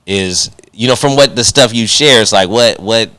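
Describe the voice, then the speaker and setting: A man's voice: on the low side, moderate pace, pushed and bright, no echo. A man hosting a podcast, chatting casually in slangy, conversational language and working his way toward each question. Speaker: podcast host. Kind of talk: casual interview with joking asides.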